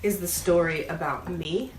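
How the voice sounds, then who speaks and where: A woman's voice: fairly low pitch, unhurried, lightly acted. A pompous old storybook water rat, read by a woman, declaims haughtily and thinks only of himself. The sound is roomy, with faint noise. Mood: haughty. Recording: roomy echo, audible background noise.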